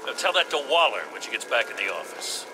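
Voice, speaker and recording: A man's voice: mid-range voice, sing-song, fast talker, music in the background, natural voice, poor audio. A man playing a weary, no-nonsense police commissioner in a video game, blunt and matter-of-fact. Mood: exasperated. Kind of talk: video game character acting.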